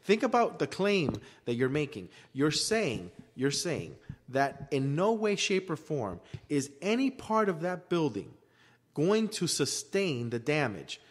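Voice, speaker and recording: A man's voice: fairly high pitch, big pitch swings, unhurried. Insistent man arguing, voice ringing with reverb. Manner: insistent, emphatic, argumentative. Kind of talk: casual debate conversation. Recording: reverberant hall-like room echo.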